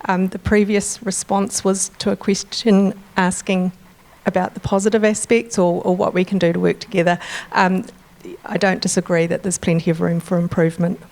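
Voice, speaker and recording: A woman's voice: fairly low pitch, flat delivery, moderate pace, darkish tone. A woman on a conference panel, agreeable and a little hesitant, with fillers. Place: conference room.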